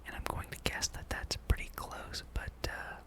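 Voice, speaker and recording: A person whispering an ASMR gameplay ramble as host, thinking aloud. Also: moderate pace. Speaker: gaming channel host.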